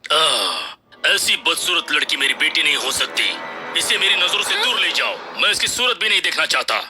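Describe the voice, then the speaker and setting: A man's voice: on the high side, big pitch swings, moderate pace, over music. A man playing a stern, angry, imperious king in a children's cartoon fairy tale. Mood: furious. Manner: forceful, imperious. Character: angry, stern king rejecting his daughter.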